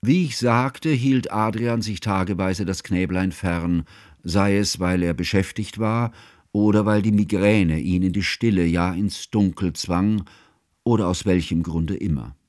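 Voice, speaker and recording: Earnest scholarly narrator guy in a radio drama, lowish, very formal and careful, no room sound. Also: darkish tone, dry recording, studio quality. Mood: earnest, tender. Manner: measured, formal, ornate phrasing. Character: devoted, learned male friend recalling events, formal and tender. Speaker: first-person narrator. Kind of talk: literary narration in a German radio play.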